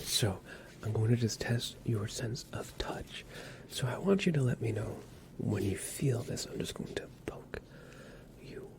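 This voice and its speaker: Whispering man in a gentle ASMR roleplay. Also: fairly low pitch. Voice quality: whispered, breathy soft voice. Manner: gentle, soothing, reassuring instructions. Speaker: ASMR performer playing a doctor. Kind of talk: ASMR medical exam roleplay.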